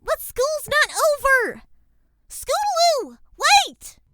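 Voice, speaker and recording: A woman voicing an excitable, blunt young filly pony in an acted cartoon fan fiction, with folksy exclamations. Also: very high voice, takes it slowly, background noise, exaggerated voice. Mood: worried.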